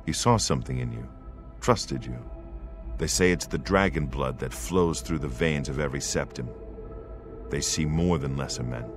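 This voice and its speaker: An actor playing a dutiful imperial bodyguard knight in a fantasy game, grave, earnest and soldierly. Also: male voice, a bass voice, animated, normal speed, music in the background. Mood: earnest, explanatory. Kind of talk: fantasy video game acting.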